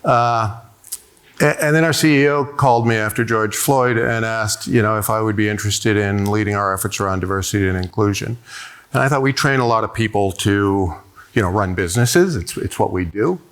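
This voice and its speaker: A man's voice: fairly low pitch, a little fast, fairly bright tone, clean tone. A middle-aged man telling a personal story on a conference panel, earnest, with frequent hesitations. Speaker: panelist, diversity executive.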